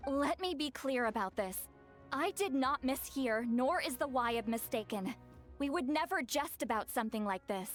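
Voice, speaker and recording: Proud, flustered, high-voiced shaman girl. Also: lively intonation, normal speed. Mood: insistent. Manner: huffy, emphatic, dramatic. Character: proud, easily flustered young female shaman, dramatic and grumpy. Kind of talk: video game voice acting. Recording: faint music behind the voice.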